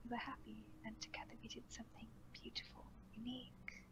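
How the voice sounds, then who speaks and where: A woman voicing a calm, manipulative female seer in a horror story reading, in a whispery, soothing, slow hushed voice. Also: fairly high pitch, low-quality recording.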